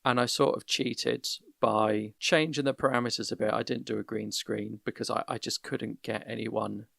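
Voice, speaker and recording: Gravelly-voiced man hosting a podcast. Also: on the low side, steady tone, fairly bright tone, no room sound, studio quality. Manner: measured, many restarts, gives constructive feedback. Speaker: podcast host. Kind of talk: casual conversational critique. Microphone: voip call.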